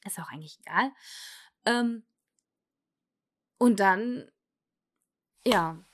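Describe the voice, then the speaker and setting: Hesitant German woman confiding. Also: mid-range voice. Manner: hesitant, halting, many fillers and restarts; candid, confessional. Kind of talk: personal testimony.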